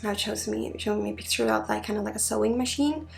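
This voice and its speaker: Creaky, hushed Swedish woman doing ASMR healing. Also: medium pitch, soft background music. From Sweden. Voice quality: breathy, often dropping into whisper. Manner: hushed, halting, intimate direct address. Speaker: spiritual healer and ASMR host. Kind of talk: ASMR guided energy healing session.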